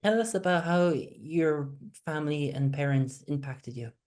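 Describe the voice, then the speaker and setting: A man's voice: on the high side, measured pace, darkish tone. A man hosting an interview podcast, introducing his guest with upbeat enthusiasm and asking personal questions. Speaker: podcast host.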